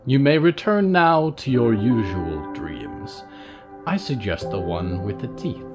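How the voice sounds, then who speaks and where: An actor playing an eerie, courtly monster who hosts nightmares, narrating a horror audio drama in a formal, theatrical manner. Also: male voice, medium pitch, big pitch swings, slow pace, music in the background.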